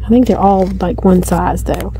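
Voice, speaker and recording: A middle-aged woman filming herself shopping in a large store, chatting casually and getting excited over finds as she goes. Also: on the low side, normal speed, faint music behind, rough recording. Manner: chatty, easily excited, thinking aloud. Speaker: shopping vlogger. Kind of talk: casual shop-with-me narration. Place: inside a large discount store. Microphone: handheld phone camera filming her hands.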